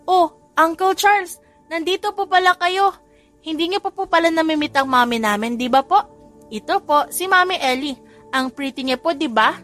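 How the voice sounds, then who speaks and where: A woman voicing an excitable, cheeky little child who is sweetly polite, in an audio romance story. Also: very high voice, moderate pace, faint music behind, voice pushed up. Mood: sweet, bubbly.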